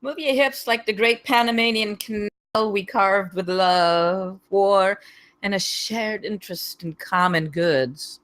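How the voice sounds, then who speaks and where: Woman doing a slow, fervent, overbearing captain. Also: mid-range voice, a bit bright. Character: possessed, overbearing female captain, flamboyantly patriotic Panamanian. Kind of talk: theatrical comedy script table read.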